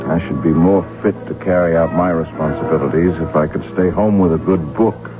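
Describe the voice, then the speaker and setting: Man acting a deep-voiced, world-weary archduke. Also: steady tone, brisk, close to an everyday voice. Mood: bored, dry. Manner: formal, dry, world-weary. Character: weary, bored archduke, stubborn and courtly bachelor. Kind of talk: 1970s radio drama acting. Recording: muffled old radio broadcast, music behind.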